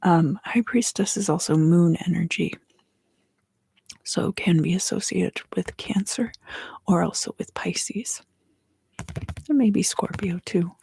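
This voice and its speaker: A woman giving an ASMR tarot reading in a hushed, breathy whisper, gently and with pauses. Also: lowish voice, no echo. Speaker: tarot reader and host.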